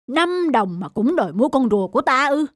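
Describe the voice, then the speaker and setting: A woman's voice: high voice, big pitch swings, a little fast, no echo, studio quality. A woman voicing a greedy, surly male trader with a haughty, scornful manner in a fairy-tale cartoon.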